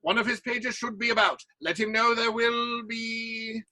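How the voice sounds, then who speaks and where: A man's voice: very high-pitched, fairly even intonation, unhurried, bright, forceful tone, full character voice. A man playing a bossy, imperious playwright who leads a theatre troupe, with dramatic delivery in an audio play. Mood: brisk orders.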